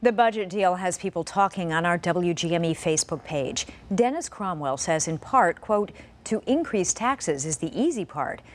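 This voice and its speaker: A woman's voice: fairly low pitch, animated, normal speed. An American woman anchoring TV news, reading from a script.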